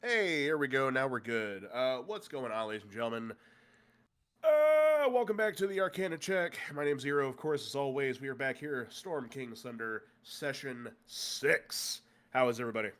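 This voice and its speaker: A man's voice: medium pitch, animated, moderate pace, a bit bright. Stuffy-nosed guy dm, recapping the last session, says uh a lot, keeps it jokey. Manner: loose and joking, with many "uh" fillers. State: congested, stuffy nose. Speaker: game master. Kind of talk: recapping a tabletop game session. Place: room with friends at a table.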